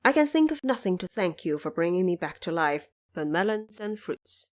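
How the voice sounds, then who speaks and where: A woman's voice: on the low side, sing-song, normal speed. A dignified male emperor , as a woman narrator plays him speaks with humility and restraint. The delivery is formal and regal, in the manner of a storybook audio drama. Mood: polite, subdued. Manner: formal, restrained, uses royal we. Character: humble, dignified male emperor defending himself.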